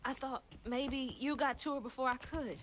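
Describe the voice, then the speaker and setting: A woman playing a plucky, stubborn young Southern woman in a video game, earnest and expressive, with an American Southern accent. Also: high voice, normal speed, slight background noise. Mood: upset, determined. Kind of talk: video game cutscene acting.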